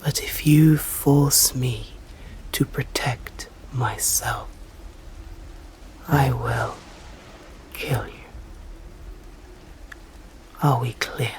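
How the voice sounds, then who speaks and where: A woman with an English accent voicing an ancient, stern female vampire in an ASMR roleplay, speaking formally in slow, hushed whispers. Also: rumbling low, slight background noise, heavily acted, low-quality recording. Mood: threatening.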